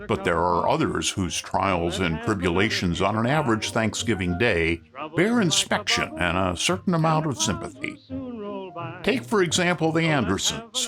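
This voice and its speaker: A man doing scripted announcing and narration for an old-time radio show. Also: lowish voice. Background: music.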